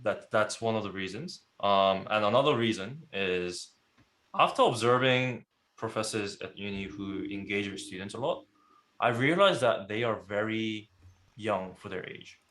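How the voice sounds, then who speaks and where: Young Korean-born man, podcast guest, lowish voice, halting and laughing. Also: fairly bright tone. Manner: laughs often, halting restarts. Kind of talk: casual podcast interview.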